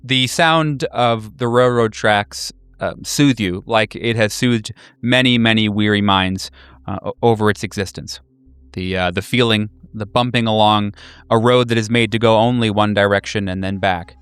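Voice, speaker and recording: A man running a horror tabletop game, narrating atmospherically in a measured, deliberate way. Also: lowish voice, fairly bright tone, clean tone, dry recording.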